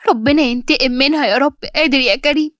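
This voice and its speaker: A woman's voice: high voice, fast, fairly bright tone, no room sound, clean studio sound. A woman voicing a wronged young woman, emotional and pleading, defiant through her hurt, in a drama. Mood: bitter prayer.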